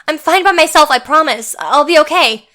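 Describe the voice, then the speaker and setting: A woman's voice: high-pitched, expressive, moderate pace, sharp tone. A woman playing a headstrong young opera singer, defiant yet caring, in an amateur audio drama.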